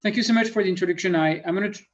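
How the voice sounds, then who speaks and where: A man's voice: sits high, very fast. French-accented man on a lo-fi call. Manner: thoughtful, self-deprecating, many fillers. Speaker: guest lecturer. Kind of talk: online presentation. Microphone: computer mic on a video call. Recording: muffled lo-fi call audio.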